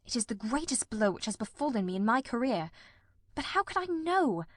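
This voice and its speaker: A performer voicing a shrewd, grimly determined male detective in a radio mystery, speaking formally and deliberately. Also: extremely high pitch, big pitch swings, a bit bright, no echo, clean studio sound, exaggerated voice. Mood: anguished. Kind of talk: old-time radio mystery drama.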